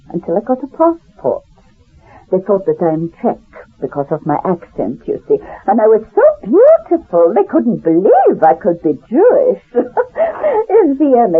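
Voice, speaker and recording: An elderly Polish-accented refugee woman, wry and rambling, lively high pitch swings, heard on a tinny old radio tape. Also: breathy edge, heavily acted. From Poland. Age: older. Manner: rambling, addresses listener directly, laughs at herself. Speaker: storyteller recounting her past. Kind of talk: 1970s radio drama monologue.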